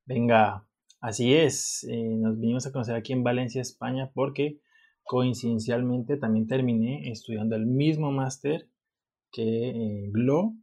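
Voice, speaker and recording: A man's voice: medium pitch, fairly even intonation, a little slow, dark tone, slightly rough. Young man, podcast co-host, playful and joking in casual talk. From Colombia. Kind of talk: casual chat.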